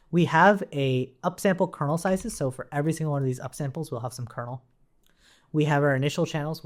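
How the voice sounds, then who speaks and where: Guy doing a casual live coding tutorial, thinking out loud, pitch moves around a lot. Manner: informal, thinking aloud. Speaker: coding instructor.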